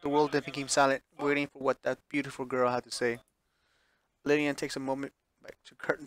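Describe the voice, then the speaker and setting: A man's voice: mid-range voice, a bit bright, poor audio. A man streaming a visual novel, reading it aloud with offhand reactions and correcting himself when he stumbles over words. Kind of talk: live playthrough read-aloud with offhand reactions.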